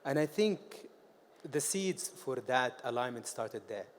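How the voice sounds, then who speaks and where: Panelist, man from Saudi Arabia, relaxed anecdotal telling. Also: medium pitch, measured pace, a little hiss or hum. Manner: storytelling, some hesitation. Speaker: property company chairman.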